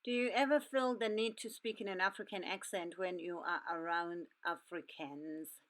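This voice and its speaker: A woman's voice: on the low side, fairly even intonation, moderate pace, a bit bright. Playful woman host on a webcam. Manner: playful, teasing questions. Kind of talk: casual video-call chat. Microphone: webcam on a video call.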